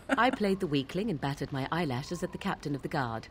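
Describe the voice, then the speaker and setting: A haughty young witch of the wilds for a fantasy game. Her voice sits low and swings widely in pitch, with formal, old-fashioned, scornful phrasing. Faint noise sits behind her. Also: big pitch swings, fairly quick. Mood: sardonic. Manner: archaic, formal, disdainful phrasing. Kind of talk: video game dialogue acting.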